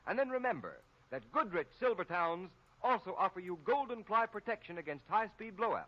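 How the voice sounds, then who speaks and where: A man announces on 1930s radio with a salesman's emphasis. His high voice moves through lively rises and falls as he reads scripted advertising copy. The vintage broadcast sounds muffled. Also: brisk.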